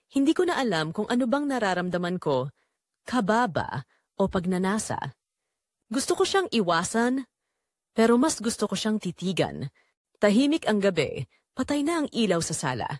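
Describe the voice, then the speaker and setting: A woman narrates a scripted first-person drama. Her pitch moves up and down in a lively way, and the sound is dry and close, with very little room in it. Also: no echo.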